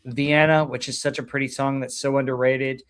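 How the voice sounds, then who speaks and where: A man's voice: mid-range voice, level tone, normal speed, heavy background noise. A man hosting a casual podcast chat about music, talking enthusiastically with many fillers. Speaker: podcast host.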